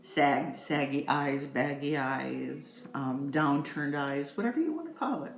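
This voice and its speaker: A woman's voice: sits low, a little slow, gravelly. A middle-aged woman giving a casual, chatty makeup tutorial, playful and rambling, speaking straight to her viewers. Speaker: makeup vlogger. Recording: echoey room with faint music.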